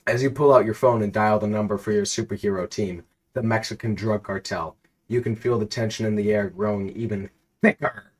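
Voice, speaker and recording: A man reading a silly, absurd story aloud in a deadpan narrating voice, now and then cracking up. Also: fairly low pitch, a little fast. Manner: deadpan narration, breaking into laughter.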